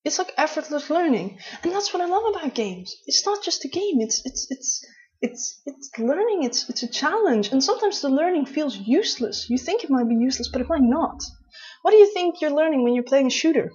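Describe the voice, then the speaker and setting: A woman's voice: high-pitched, big pitch swings, brisk, a little mellow. A Dutch woman in her late twenties with a Dutch accent, talking to camera in a chatty, rambling way with many restarts. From Netherlands. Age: late 20s. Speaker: vlogger. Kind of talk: casual talk to camera. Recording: dull lo-fi recording.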